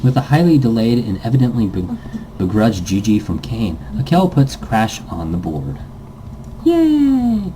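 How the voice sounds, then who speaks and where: A man narrates a visual novel in a low, very dark and soft-edged voice. His pitch moves in a lively, dramatic way, and he sometimes stumbles and rereads. Faint noise sits behind him. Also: normal speed, poor audio. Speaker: reader, host of a let's read series.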